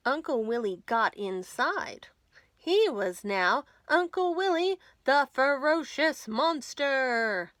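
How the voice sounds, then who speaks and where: A woman reads a children's story in a bright, higher-pitched voice. She takes her time and leans on words for drama. The sound is dry and close. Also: no echo. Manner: expressive, deliberate storytelling with dramatic emphasis. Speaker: children's librarian reading storytime. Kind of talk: animated picture-book read-aloud for young children.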